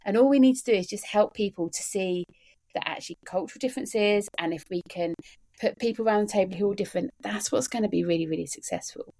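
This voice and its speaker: A woman's voice: medium pitch, fast talker, mellow. A woman guest on a podcast, speaking about workplaces in an earnest, emphatic way, repeating words and using "you know" often. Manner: earnest and emphatic, with many repetitions and fillers. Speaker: podcast guest, neurodiversity trainer. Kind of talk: conversational podcast interview.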